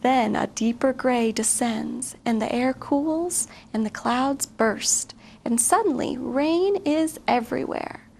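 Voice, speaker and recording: Woman, expressive children's read-aloud, high pitched, creaky, slight background noise. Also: slow. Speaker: guest story reader.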